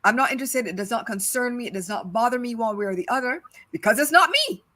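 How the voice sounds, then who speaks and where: A woman's voice: a little high, expressive, fast talker, pushed and bright. A middle-aged woman from Barbados with a Barbadian accent, co-hosting a casual discussion and repeating her points for stress. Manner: emphatic, repeats phrases for stress. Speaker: podcast co-host.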